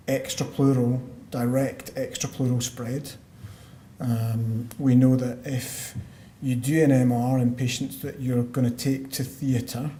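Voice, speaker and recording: A Scottish man, a lung doctor, giving a measured medical conference talk with frequent fillers and restarts. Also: mid-range voice, mellow. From Scotland. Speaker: pulmonologist giving a conference lecture. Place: conference hall. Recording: roomy hall sound, faint background noise.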